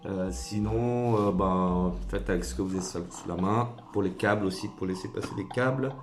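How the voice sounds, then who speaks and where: A man's voice: deep voice, moderate pace, over music. A man giving a casual hands-on tutorial, informal and hesitant, thinking aloud as he works. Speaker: DIY tutorial maker.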